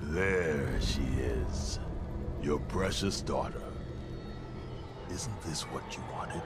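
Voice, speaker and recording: An actor playing a menacing, grandiose demonic villain in a video game, speaking slowly and ominously with pompous scorn. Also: male voice, very deep voice, exaggerated voice. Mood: taunting. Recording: heavy echo, distant, under loud music.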